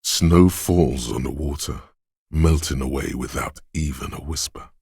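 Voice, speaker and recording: A man voicing an inner sense of perception in a video game, narrating in a hushed, husky, deliberate and poetic way, savoring each sound. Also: very deep voice, dry recording, studio quality, full character voice. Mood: hushed, watchful. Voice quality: husky, gravelly, breathy. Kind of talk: atmospheric scripted game narration.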